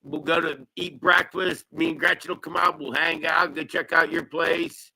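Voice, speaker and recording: A man's voice: on the high side, level tone, normal speed, vocal fry, sharp tone, a little hiss or hum. A man in his fifties, a podcast guest chatting casually, rambling and laughing often. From Pennsylvania. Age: 50s.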